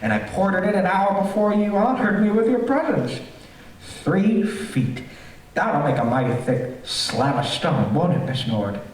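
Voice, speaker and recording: A radio-thriller villain, a fussy and eager host with menace underneath. His voice is high and swings widely as he repeats himself at a slow pace. It carries through a very roomy theater. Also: animated. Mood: sinister glee. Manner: repetitive, fussy, chuckling, sinister. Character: fussy, eager homeowner, secretly a gleeful murderous villain. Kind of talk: stage performance of golden-age radio thriller. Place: theater stage, live performance. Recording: roomy theater, far from mic, faint noise.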